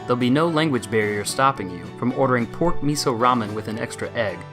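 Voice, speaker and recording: A man's voice: medium pitch, quick pace. Man narrating a promo over music. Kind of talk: scripted narration.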